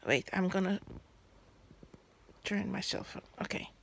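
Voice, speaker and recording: A woman vlogging casually about a newspaper, speaking English as a Hakka and Cantonese native, often pausing to search for words. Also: mid-range voice, gravelly, husky. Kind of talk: casual chat. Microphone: phone camera held over a newspaper.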